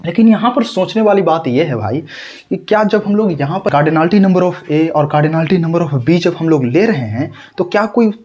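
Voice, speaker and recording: A man's voice: on the high side, animated, moderate pace, a little mellow. Young guy teaching math, sore throat, voice bouncing up and down a lot, keeps asking if you get it. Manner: rhetorical questions and repeated checks. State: sore throat, straining to speak. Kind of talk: tutorial lesson.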